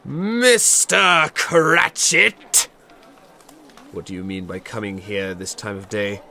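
An actor playing a giddy, jubilant old gentleman, a reformed miser, with an exclamatory, stammering manner in an audio drama. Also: on the low side, slow pace, vocal fry, slight background noise, exaggerated voice. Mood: mock stern. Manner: exclamatory, stammering with excitement, chuckling. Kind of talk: theatrical audio drama acting.

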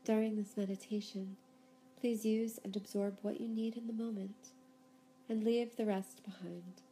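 A woman leads a guided yoga nidra session in a soft-edged, relaxed voice. She speaks calmly and soothingly, leaving unhurried pauses between instructions. A faint rumble sits behind her voice.

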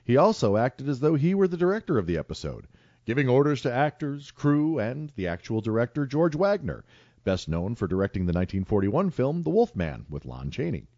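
Male podcast host, wry scripted delivery, wide-swinging pitch, dry slightly muffled sound. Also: very animated, a little fast, dark tone, dry recording. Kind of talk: reading a prepared segment.

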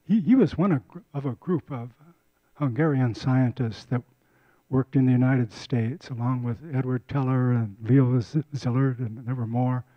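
A man's voice: medium pitch, steady tone, normal speed, no echo, lo-fi sound. An older man giving a casual community lecture on a handheld mic, often repeating and restarting words. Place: lecture room.

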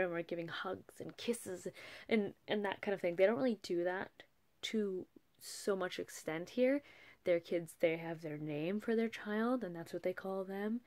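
A woman's voice: lowish voice, a little mellow. A woman in her thirties talking candidly to a webcam from a small wood-paneled room at night, with frequent restarts. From English-speaking country. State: emotional after a move. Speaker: vlogger. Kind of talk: casual chat to camera.

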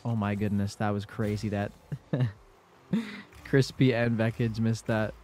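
A man's voice: lowish voice, slow pace, a little mellow, a little hiss or hum. A young man with a congested voice giving casual commentary over his own gameplay in a laid-back play-by-play. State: congested from a cold. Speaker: gaming YouTuber.

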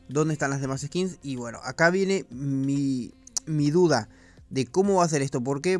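A man giving casual, slangy commentary on video game news, with the odd swear word. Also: a little high. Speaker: gaming YouTuber.

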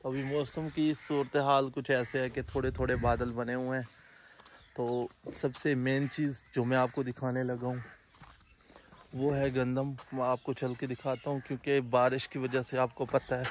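Man in his thirties, Punjabi accent, relaxed vlog commentary, phone at arm's length, faint outdoor noise. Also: medium pitch, moderate pace. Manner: relaxed explaining while walking, hand gestures. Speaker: vlogger. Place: outdoors by wheat fields. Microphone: phone held at arm's length.

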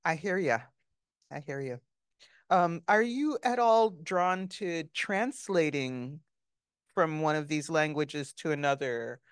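An older woman interviewing an author on stage, asking questions haltingly with many ums, on a handheld mic. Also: low-pitched, animated, clean tone, no echo, clean studio sound. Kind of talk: onstage author conversation. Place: small stage before an audience, indoors.

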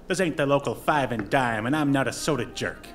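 An actor playing a sarcastic, gruff bartender with slangy 1950s wisecracking patter in an audio drama. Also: male voice, medium pitch, fairly quick, lightly acted. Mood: scornful. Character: sarcastic, gruff old-fashioned bartender at newsmen's bar. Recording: faint music underneath.

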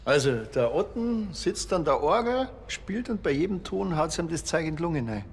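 An actor playing a blunt, cocky village policeman in a TV crime comedy, deadpan, with a Bavarian accent. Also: on the high side, normal speed, slight background noise. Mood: casual questioning. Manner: deadpan, colloquial.

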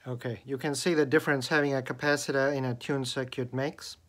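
A man giving a casual, deliberate hands-on explanation as a radio hobbyist, recorded indoors on a handheld camera. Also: mid-range voice, steady tone, a bit bright. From Australia. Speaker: hobbyist radio enthusiast demonstrating a homemade antenna. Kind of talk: casual video demonstration. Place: indoors in a bedroom. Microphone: handheld camera, held by the speaker.

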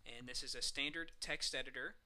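Man, very bright sharp voice, mid pitch, casual step-by-step explaining, software demo narration. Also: brisk. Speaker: software tutorial presenter. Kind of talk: screencast walkthrough narration, semi-scripted.

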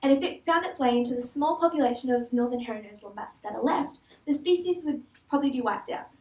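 A woman's voice: high-pitched, fast talker, a little mellow. Australian teenage girl, student speaker, earnest with small slips; roomy hall sound. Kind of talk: prepared stage talk. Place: large auditorium stage. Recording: echoey hall, heard from far off.

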